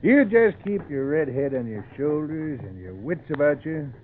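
A man's voice: on the high side, animated, moderate pace, a little hiss or hum, natural voice. Man acting a folksy old teamster, tinny radio. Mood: kindly advising. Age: older. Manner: folksy, drawling rustic speech. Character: old male teamster, folksy, plainspoken, protective.

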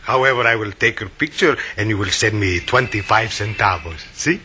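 An actor playing a flirtatious, shifty sidewalk photographer with a Spanish accent and effusive broken English, in 1940s radio style. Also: male voice, on the low side, lively intonation, moderate pace, sharp tone, a little hiss or hum. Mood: gushing.

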